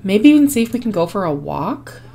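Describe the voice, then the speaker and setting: A woman hosting a life-sim game video, her voice hoarse and fading, chatting casually with frequent ums and small laughs. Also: medium pitch, very animated, fairly quick, dark tone. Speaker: gaming channel host. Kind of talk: casual gameplay commentary.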